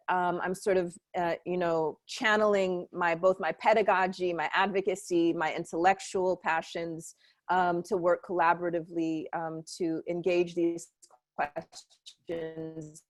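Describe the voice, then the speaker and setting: A woman's voice: fairly low pitch, dry recording. Fluent academic woman, dry sound. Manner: thoughtful, fluent academic speech with fillers. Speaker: professor, panelist. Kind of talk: panel discussion on video call. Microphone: video call.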